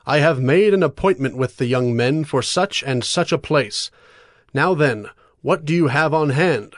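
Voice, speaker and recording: A man's voice: fairly high pitch, animated, measured pace, no echo, studio quality. A man playing an earnest, persuasive young warrior on the run in a dramatized Bible story, firm and formal.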